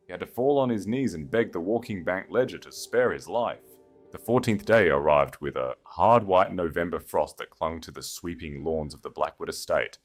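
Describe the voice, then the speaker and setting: A man reading a dramatic scripted romance as an audiobook narrator. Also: deep voice.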